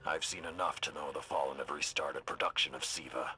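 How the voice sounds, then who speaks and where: Give the warrior lord a very low, solemn voice with authority. He is a grave veteran commander in a video game, deliberate in delivery, with faint noise behind him. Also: steady tone, pushed and bright, exaggerated voice. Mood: resolute.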